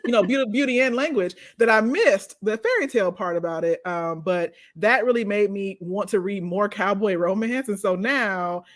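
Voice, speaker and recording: Woman, medium pitch, casual panel talk, playful, emphatic, gushing. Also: moderate pace, few pauses. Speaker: panelist recommending books. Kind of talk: casual chat.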